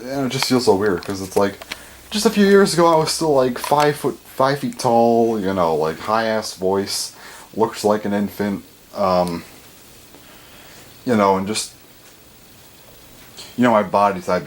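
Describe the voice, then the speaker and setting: A seventeen-year-old young man rambling casually, with lots of fillers and the odd swear, his pitch jumping up when he stresses a word. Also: moderate pace, pauses often, slight background noise. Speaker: vlogger.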